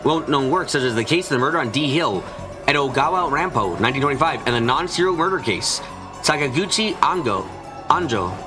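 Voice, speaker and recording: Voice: medium pitch, lively intonation, fairly quick. A streamer reading a mystery visual novel aloud as narrator, with small stumbles and rereadings over music. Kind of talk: fan dub narration of a mystery game.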